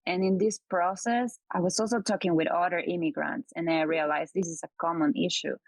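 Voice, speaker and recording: A woman's voice: on the low side, steady tone, a little fast, no room sound, poor audio. A woman from Peru, a startup co-founder, answering interview questions earnestly and often using "like" as filler.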